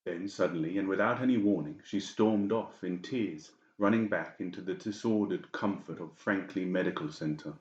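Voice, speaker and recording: A man's voice: sits low, moderate pace, few pauses. A man reading scripted fiction as an audiobook narrator. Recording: dull, echoey room recording.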